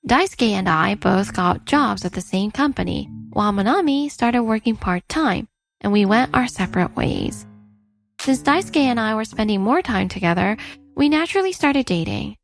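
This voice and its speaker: A woman's voice: mid-range voice, expressive, normal speed, creaky, soft background music. A woman acting and narrating as a weary but outspoken wife in her thirties in a dubbed story. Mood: weary, matter-of-fact. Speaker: narrator and lead character.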